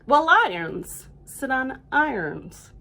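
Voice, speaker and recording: A woman voicing a bossy, know-it-all male cat in a picture book, making emphatic, drawn-out pronouncements. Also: high voice, sing-song, creaky, bright, forceful tone, full character voice. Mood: sure, instructive. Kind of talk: children's storybook read-aloud.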